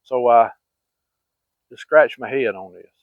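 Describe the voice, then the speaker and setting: A middle-aged man rambles through a hunting story in a folksy way, full of fillers. His voice sits at an ordinary pitch. The sound is narrow and phone-like, with no room echo at all. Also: takes it slowly. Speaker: channel host telling hunting stories. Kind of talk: casual storytelling.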